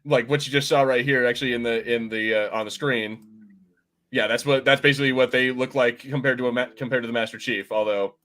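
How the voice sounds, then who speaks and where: A man hosting a casual podcast discussion, explaining things in an informal, rambling and halting way. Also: medium pitch, steady tone, sharp tone. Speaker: podcast host. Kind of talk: casual pop-culture discussion, explaining game lore.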